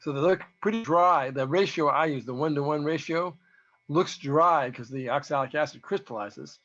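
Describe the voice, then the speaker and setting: A man with a hoarse voice gives an informal, halting technical presentation, explaining data as a researcher. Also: fairly high pitch, fairly bright tone. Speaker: presenter, researcher giving a talk to beekeepers. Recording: dull, lo-fi audio.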